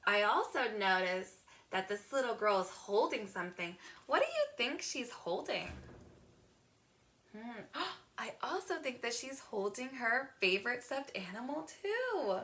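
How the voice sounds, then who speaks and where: A woman reading a picture book aloud to young children in an expressive teacher's manner, drawing words out. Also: on the high side, measured pace. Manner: expressive, asks the listener questions, gasps, draws words out.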